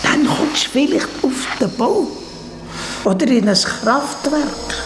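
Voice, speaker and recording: A woman's voice: high voice, animated, takes it slowly, noisy background. A woman voicing an old, worn-out mender creature, offhand and resigned, in a Swiss German children's radio play. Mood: breezy, dismissive. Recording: roomy sound with music underneath.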